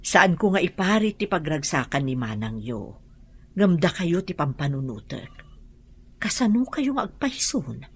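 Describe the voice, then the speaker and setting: A matriarchal older aunt, very low and raspy, with widely swinging intonation. She is frank, protective and probing, in emphatic Ilocano radio drama style. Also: very animated, a little slow, exaggerated voice. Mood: concerned, reasoning.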